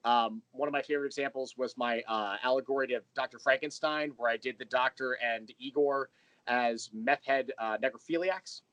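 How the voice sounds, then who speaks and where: A man's voice: medium pitch, steady tone, normal speed, a bit bright, rough recording. A man speaking as the guest in a casual interview, explaining his creative work in a thoughtful, rambling storyteller's way. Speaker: interview guest, audio drama creator and writer.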